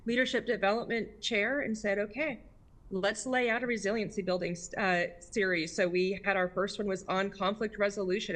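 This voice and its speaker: A woman's voice: medium pitch, a bit bright. Candid American woman giving interview answers. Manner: candid, emphatic, often adds "right?" and rhetorical questions. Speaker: interviewee, district governor of a service club.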